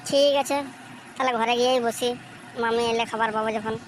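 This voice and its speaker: A woman's voice: fairly high pitch, steady tone, a little fast, close to an everyday voice. A woman voicing a cheeky, hungry, scheming young boy in a comic cartoon, pleading and sly. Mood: wheedling. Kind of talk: amateur comic cartoon dubbing. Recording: faint background noise.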